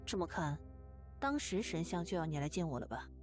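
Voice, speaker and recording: A woman's voice: lowish voice, very animated, fairly quick. An actress playing a perceptive, direct young woman in a visual novel, speaking in a probing, self-assured way. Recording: clear game music behind the voice. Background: game music.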